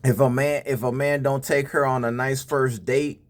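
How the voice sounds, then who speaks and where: A man's voice: mid-range voice, little pitch movement, normal speed. A man casually reacting to a dating podcast clip, giving blunt, slangy relationship advice to the fellas and the ladies. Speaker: reaction channel host. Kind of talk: casual opinionated commentary.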